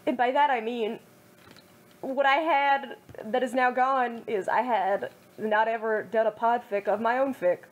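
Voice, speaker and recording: A young woman reading her own fan fiction aloud in a fairly high voice. Between passages she drops into wry, self-mocking asides full of little fillers. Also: fairly even intonation, a little slow, lo-fi sound.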